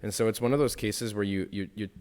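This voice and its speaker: A man's voice: on the low side, fairly even intonation, fast, darkish tone, smooth, big-room sound. A young man co-hosting a casual podcast, talking earnestly and reflectively, sometimes acting out what other people say. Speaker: podcast co-host.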